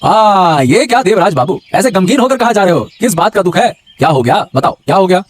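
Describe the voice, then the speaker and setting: अभिनेता की आवाज़ में ऊँचे सुर वाला चालाक देहाती आदमी. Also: male voice, high-pitched, big pitch swings, fairly quick, soft background music. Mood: sly, curious. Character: scheming, cheerful village husband with a cow. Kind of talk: Hindi animated moral story voice acting.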